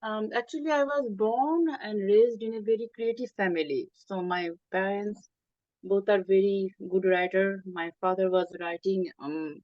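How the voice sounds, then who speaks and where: A woman from Bangladesh, a native Bengali speaker, talking as a guest in an interview, hesitant with many pauses and fillers. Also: mid-range voice, no echo, muffled recording. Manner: hesitant, many ums, halting phrasing. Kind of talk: interview conversation.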